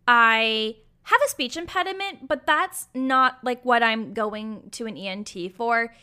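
A young woman hosting a solo podcast, talking candidly and chattily with a raspy, sometimes croaky, hoarse voice. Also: a little high, a little slow, pushed and bright. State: hoarse from acid reflux. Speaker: podcast host.

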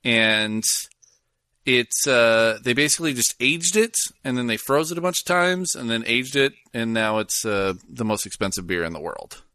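Lively-voiced man, casual podcast chat. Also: medium pitch, normal speed, clean tone, dry recording, clean studio sound. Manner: rambling, hesitant, reads news aloud. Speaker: podcast co-host.

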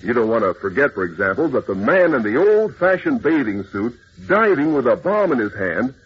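Animated, very husky male radio pitchman. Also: normal speed, highs cut off. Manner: emphatic, enthusiastic salesmanship. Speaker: sponsor announcer. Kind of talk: 1940s radio advertising pitch to children.

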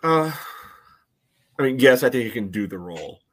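A man giving casual sports analysis on a podcast in a measured, hedging, conversational way. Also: mid-range voice, expressive, fairly bright tone.

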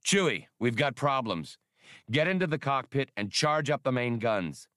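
A man's voice: medium pitch, expressive, normal speed, sharp tone, no echo, studio quality, voice pushed up. A man voicing a brash, cynical smuggler pilot in an audiobook, blunt and wry. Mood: urgent, commanding. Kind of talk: audiobook character reading.

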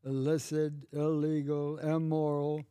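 An old man in his eighties talks slowly and reflectively, with a wry, bawdy humor. His voice sits a little high for a man. The sound is dry and close. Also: level tone, dark tone, smooth, no echo. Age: 80s. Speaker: podcast guest. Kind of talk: casual chat.

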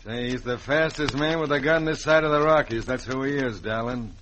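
Guy doing rough folksy outlaw sidekick, medium pitch, old western radio show, bit noisy. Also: fairly quick, fairly bright tone, lightly acted. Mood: gruff, matter-of-fact. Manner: folksy, plain-spoken drawling cowboy talk. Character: rough, uncouth Western outlaw henchman, obedient. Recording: faintly noisy vintage radio recording.

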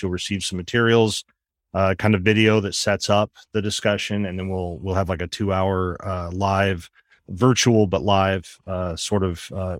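A man's voice: sits low, no room sound, clean studio sound. A middle-aged man co-hosting a podcast, talking earnestly with many fillers and restarts while tired from an illness. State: recovering from covid, tired. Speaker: podcast co-host, religion professor. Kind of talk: casual podcast chat.

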